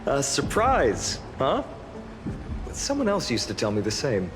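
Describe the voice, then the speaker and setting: A man's voice: mid-range voice, huge pitch swings, measured pace. A man playing a friendly young hero in a video game, teasing yet wistful and skeptical. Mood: amused, nostalgic. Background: background music.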